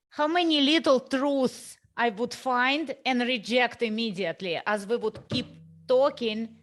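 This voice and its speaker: A woman who is not a native English speaker, hosting a reflective conversation in an earnest way, repeating phrases for emphasis. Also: fairly high pitch. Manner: slow, earnest, repetitive emphasis. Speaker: host.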